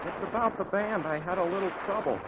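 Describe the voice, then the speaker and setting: A man playing a sheepish, high-voiced teen bandleader. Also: fast, lightly acted. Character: sheepish teenage boy bandleader. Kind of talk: 1940s radio comedy acting. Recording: muffled old radio broadcast with hiss.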